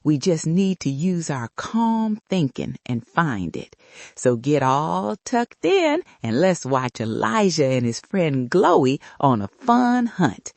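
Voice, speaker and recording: An older woman speaks slowly to small children at bedtime, with a creaky edge to her voice. She is soothing and addresses the little listeners directly, with lively rises and falls in a dry, close-sounding recording. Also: low-pitched, dry recording. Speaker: host and storyteller. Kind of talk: bedtime story introduction for young children.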